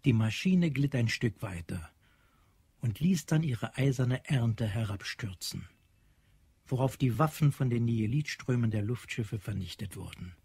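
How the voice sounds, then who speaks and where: A man's voice: mid-range voice, lively intonation, measured pace, a little mellow, breathy edge, dry recording. A man narrating a radio drama in a dramatic, literary, scripted style.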